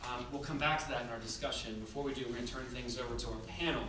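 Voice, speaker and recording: A man's voice: medium pitch, steady tone, very fast, distant mic. Man addressing an echoey, noisy theater. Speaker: director and discussion host. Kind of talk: public address to a theater audience. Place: theater, addressing live audience.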